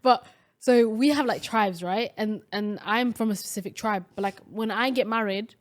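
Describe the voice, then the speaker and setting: A woman's voice: mid-range voice, normal speed. A young woman from Somalia co-hosting a casual podcast chat, talking in a chatty way with many fillers and restarts. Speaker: podcast co-host.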